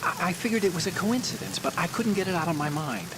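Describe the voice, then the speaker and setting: A man's voice: high voice, fast talker, natural voice. An actor playing an earnest, anxious male computer hacker in a video game, speaking hesitantly. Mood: uneasy, confiding. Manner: hesitant, stammering. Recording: rain noise behind.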